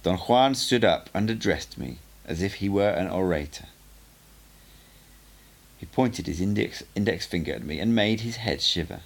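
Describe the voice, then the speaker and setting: A man narrates a book aloud in an expressive, measured way. His voice sits low with a gravelly edge, and his pitch moves freely to shape each sentence. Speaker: audiobook reader. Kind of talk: amateur audiobook narration.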